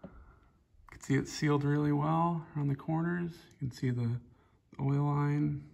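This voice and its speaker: A man casually showing a chainsaw filter in his garage workshop, talking in a relaxed, rambling way with lots of fillers, recorded on a phone held close. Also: a little high, moderate pace, gravelly. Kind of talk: casual hands-on show-and-tell. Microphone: phone camera held close.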